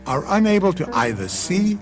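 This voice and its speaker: A man hosting and narrating a radio mystery in a suspenseful, deliberate, ominous and theatrical way. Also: high voice, very animated. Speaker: host and narrator. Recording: vintage radio broadcast with music. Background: prominent music.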